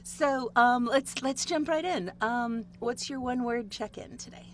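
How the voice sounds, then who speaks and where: A woman host and voiceover coach running a casual interview, friendly, with quick affirmations and frequent laughs. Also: a little high. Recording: audible background noise.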